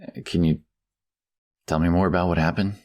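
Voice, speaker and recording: A man playing a polite, worried middle-aged father who speaks haltingly and gratefully in an audiobook. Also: deep voice, fairly even intonation, close to an everyday voice. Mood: concerned. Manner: halting, polite, soft-spoken hesitations. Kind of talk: audiobook dialogue acting.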